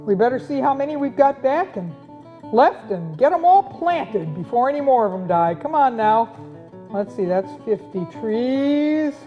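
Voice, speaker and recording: Woman acting folksy pioneer farmer, high voice, unhurried, excitable, children's puppet show, dull audio. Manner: exclaiming, folksy, talks to animal and children. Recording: dull lo-fi audio, guitar music behind.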